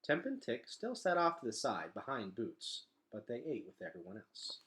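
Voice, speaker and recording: A man reading a children's novel aloud in an expressive, dramatic storytelling style. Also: mid-range voice, normal speed. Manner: animated, dramatic delivery.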